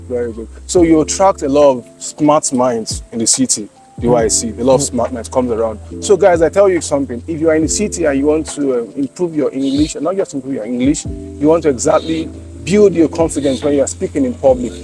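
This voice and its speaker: A man's voice: medium pitch, fast, music in the background. A man hosting an interview podcast, enthusiastic, full of affirmations and speaking directly to viewers. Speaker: podcast host.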